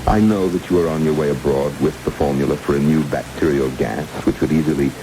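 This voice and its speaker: A man playing a smooth, courteous kidnapper in a vintage radio drama, unhurried and politely menacing, on an old broadcast. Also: rumbling low. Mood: calmly menacing. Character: smooth, courteous kidnapper who sells state secrets. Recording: hissy old radio broadcast under rain noise.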